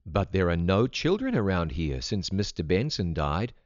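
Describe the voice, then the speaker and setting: A man voicing a caring, anxious country mother in a storybook, with a gentle and concerned manner. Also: low voice, sing-song, moderate pace, no echo, dull audio.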